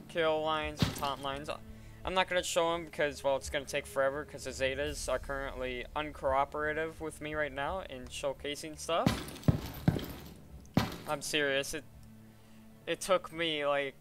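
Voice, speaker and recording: A man casually talking through a software tutorial step by step in an informal way. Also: on the high side. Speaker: tutorial presenter. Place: voice-over at a computer.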